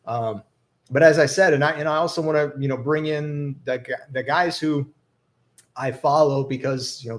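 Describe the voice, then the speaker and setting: A man casually hosting a live investing chat, rambling and hesitant, with an upbeat tone. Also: medium pitch. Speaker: stock investing livestream host.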